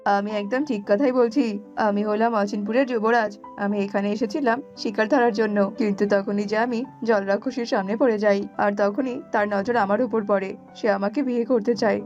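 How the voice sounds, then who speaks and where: A woman's voice: medium pitch, darkish tone, lightly acted. Woman playing earnest young captive fairy-tale prince. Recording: slightly dull audio with background music.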